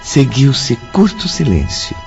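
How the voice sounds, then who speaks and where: A man's voice: mid-range voice, big pitch swings, slow, vocal fry, a little mellow, heavily acted. A man with a Brazilian accent as the grave storyteller of a spiritual radio drama, reading scripted narration solemnly. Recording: dull lo-fi audio under prominent music.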